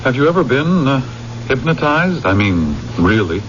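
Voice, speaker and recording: An actor playing a cold, bitter, scheming man who narrates and coaxes in a deliberate, measured 1970s radio mystery style. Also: mid-range voice, very animated, slight background noise. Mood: smooth, casual. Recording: muffled vintage radio broadcast, loud music behind.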